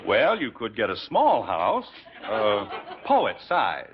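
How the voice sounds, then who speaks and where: A man's voice: on the low side, huge pitch swings, slow pace, exaggerated voice. An actor playing a genial, slyly firm middle-aged suburban father in a 1950s radio sitcom, folksy, hesitant one moment and emphatic the next. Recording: muffled, noisy 1950s radio recording.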